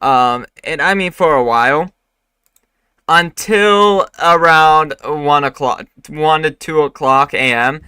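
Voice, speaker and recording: A man with a bright, crisp voice runs a casual live weather discussion, stopping often for fillers and restarts. His voice is still recovering from a bout of lost voice, and the sound is dry and close. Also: fairly high pitch, no room sound. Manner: halting, many fillers and restarts. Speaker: weather livestream host.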